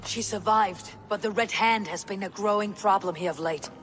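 An actress playing a blunt, resolute Viking warrior woman in a video game, speaking in measured phrases. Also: mid-range voice, fairly bright tone, faint music behind, lightly acted. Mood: firm, insistent. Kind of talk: video game cutscene acting.

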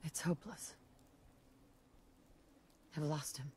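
A grieving young mother in a video game, her voice on the low side. She is a shaken wasteland survivor, with faint noise behind her. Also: takes it slowly, dark tone. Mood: anguished. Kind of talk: video game dialogue acting.

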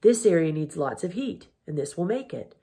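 Woman reading as a stern, brisk farm mother. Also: fairly low pitch, animated, dark tone. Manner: brisk and practical. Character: stern, stubborn mother turned caring.

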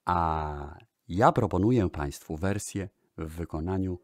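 Guy with a really low voice doing a radio-style music history show, measured and formal, no room echo. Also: clean studio sound. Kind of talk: radio-style narration about old recordings.

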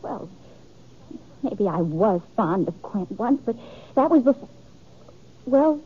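Casting: hesitant young bride-to-be in an old western radio play, breathy, boxy sound. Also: female voice, medium pitch, lively intonation, takes it slowly. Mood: pleading. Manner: pleading, hesitant. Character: earnest young frontier bride-to-be, torn and apologetic. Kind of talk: 1950s western radio drama acting. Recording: muffled 1950s radio recording.

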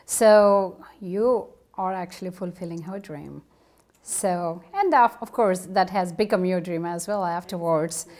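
Middle-aged female TV host; animated, eager, lapel mic in a home set. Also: fairly low pitch, unhurried. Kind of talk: TV interview. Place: furnished living room.

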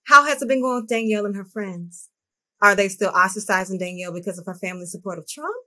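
A middle-aged woman playing a diplomatic but firm school principal in a dramatized meeting, speaking in a measured way on a video call. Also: mid-range voice, bright, forceful tone. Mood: brisk, concerned.

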